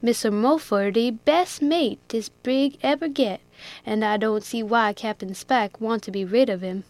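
A woman's voice: fairly high pitch, unhurried, a little mellow, no room sound. A woman reading aloud, voicing a good-natured male ship's steward with a heavy rustic dialect and a drawling, moralizing manner. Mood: folksy.

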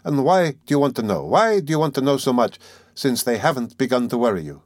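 A man's voice: medium pitch, very animated, a little fast, darkish tone, dry recording, pitched above the performer's own voice. A man voicing a sly, falsely jovial middle-aged examining lawyer in an audiobook, teasing and ironical. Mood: playful, teasing. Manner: teasing, chuckling, wheedling, ironical. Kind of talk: dramatic audiobook character reading.

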